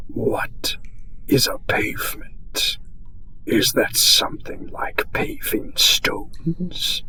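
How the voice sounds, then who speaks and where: Guy doing a whispery eldritch auditor, super slow n formal, calm possessive captor soothing u, fantasy asmr roleplay. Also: mid-range voice, exaggerated voice, low-quality recording. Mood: musing. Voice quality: breathy whisper.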